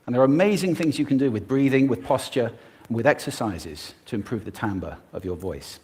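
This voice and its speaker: Brief: a male stage speaker with a lively, swooping delivery who demonstrates his techniques. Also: medium pitch, fairly quick, husky. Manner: expressive, demonstrating vocal techniques. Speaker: public speaker. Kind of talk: prepared talk on stage.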